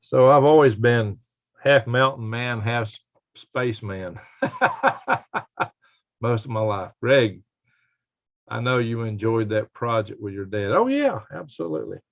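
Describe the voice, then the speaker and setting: An older man with a raspy voice chatting casually and folksily as he hosts a live stream and reads out comments. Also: mid-range voice, expressive, measured pace, long pauses. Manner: folksy, rambling, chuckling country talk. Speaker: livestream host. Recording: dull lo-fi livestream audio.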